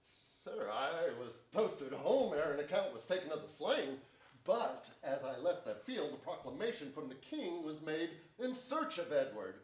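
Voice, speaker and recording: An older man playing a bold, forthright royal officer, declaiming emphatic Shakespearean verse in a staged reading. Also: fairly high pitch. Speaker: stage actor. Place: large indoor theater stage before an audience. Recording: echoey theater, distant microphone.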